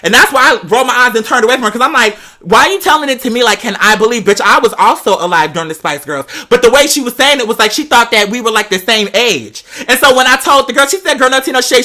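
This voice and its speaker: A woman telling a personal story as a host, in animated, slangy casual talk with a sassy, dramatic manner, peppering it with "girl" and swearing. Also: fairly high pitch.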